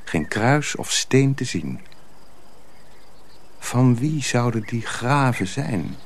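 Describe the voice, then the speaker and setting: A man narrating a dark folk tale for a radio drama, measured and solemn. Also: mid-range voice, big pitch swings, a little hiss or hum. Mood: somber. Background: music.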